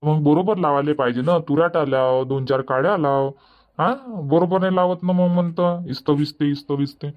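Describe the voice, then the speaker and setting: A grumbling old village husband, voiced by a man, complains and lectures in a dubbed cartoon comedy. He speaks with a Varhadi Marathi accent in a rather high voice. The recording sounds dull and lo-fi. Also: moderate pace, lightly acted. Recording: dull lo-fi audio.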